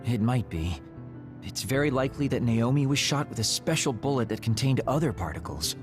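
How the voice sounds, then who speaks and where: Give a measured, knowledgeable man from a sci-fi anime game, played by a male actor. His delivery explains carefully yet moves widely in pitch, with music playing clearly underneath. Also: expressive, close to an everyday voice. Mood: thoughtful, measured. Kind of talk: English game dub acting, explanatory dialogue. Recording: music behind the voice.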